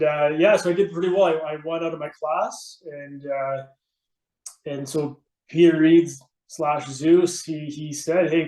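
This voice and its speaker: A middle-aged man with a fairly high-pitched voice, recorded in a clearly echoey room. He is a podcast guest, chatting casually and explaining things from his own experience. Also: a little mellow. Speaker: podcast guest, veteran firefighter and competitor. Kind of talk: casual interview talk. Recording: roomy, echoey sound.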